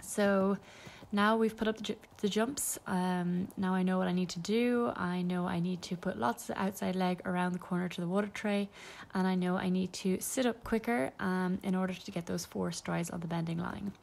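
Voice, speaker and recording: A woman's voice: lowish voice, fairly even intonation, normal speed, creaky, a little mellow. A young woman talking casually through a ride as a voice-over, with lots of ums and small laughs. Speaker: equestrian vlogger.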